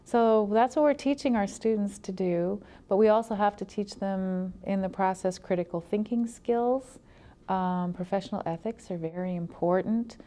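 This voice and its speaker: A woman college instructor explaining her field in an interview in a measured, deliberate way. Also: medium pitch, warm tone.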